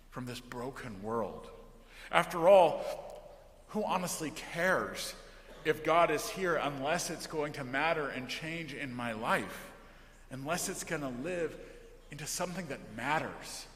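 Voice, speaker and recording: American male pastor, sermon delivery, unhurried, earnest, emphatic with soft phrase endings, echoey hall with long reverb. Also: mid-range voice. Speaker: pastor preaching. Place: large indoor church during worship.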